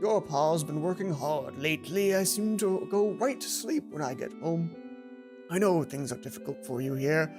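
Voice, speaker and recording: A woman's voice: sits low, animated, moderate pace, music in the background. A woman voicing a loving, weary, lonely father in a visual novel, reading his letter tenderly. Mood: tender, wistful.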